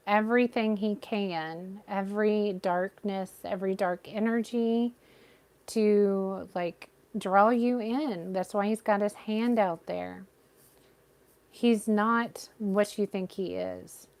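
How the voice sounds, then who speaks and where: A woman giving a live tarot reading with a soothing, gentle voice, talking straight to her viewers. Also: medium pitch. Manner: gentle, reassuring, addresses viewers by name. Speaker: tarot reader.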